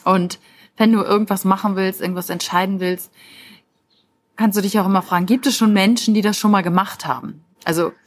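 A woman speaks in the manner of a life coach, at a middle pitch. She addresses the listener directly and encouragingly, in an informal monologue meant to motivate. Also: fairly even intonation, fairly quick. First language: German.

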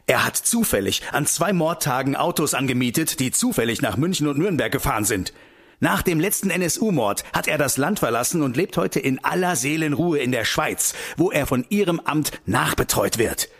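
A man's voice: mid-range voice, animated, moderate pace, fairly bright tone, breathy edge. An actor playing a combative male investigator in a radio play, indignant and bitingly sarcastic. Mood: sarcastic, accusing.

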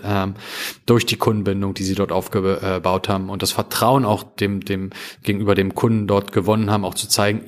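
A man talking casually in an interview about his coworking business, with frequent fillers and restarts. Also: on the low side. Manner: quick, with many fillers and restarts. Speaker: coworking company executive.

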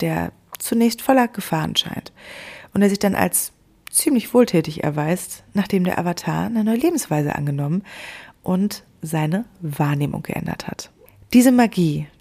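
A woman's voice: lowish voice, a little mellow. A young adult woman speaking earnestly in a personal spiritual monologue, talking straight to the listener and now and then dropping to a whisper. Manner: earnest, addresses the listener directly, sometimes drops to a whisper.

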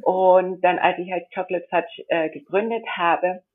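A woman's voice: lowish voice, normal speed. A young woman who grew up in India, telling her story as an interview guest in a chatty, rambling way with many fillers and frequent laughs. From grew up in India, born in Germany. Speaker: interview guest, model and entrepreneur. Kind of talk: casual interview chat. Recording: tinny phone-like line.

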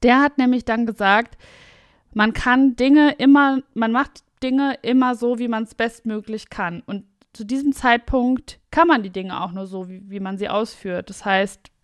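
A woman in her late twenties hosting a podcast, thinking out loud in casual chat with a reflective, self-mocking manner. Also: fairly high pitch, unhurried, clear, resonant tone, dry recording. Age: late 20s. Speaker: podcast host.

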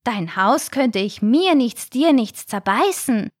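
A gentle little shark boy from a children's storybook, voiced by a woman. His voice is lifted high, and he explains himself with earnest eagerness. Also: expressive, slow, no room sound, clean studio sound. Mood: earnest, insistent.

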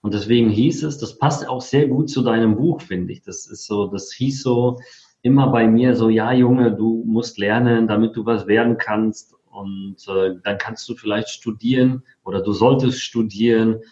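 A man's voice: lowish voice, fairly even intonation, moderate pace, dark tone. A forty-year-old man from Poland who grew up in Germany, talking casually about himself as an interview guest. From born in Poland, grew up in Germany. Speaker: interview guest, affiliate marketer. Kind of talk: casual autobiographical telling. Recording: roomy, slightly dull sound.

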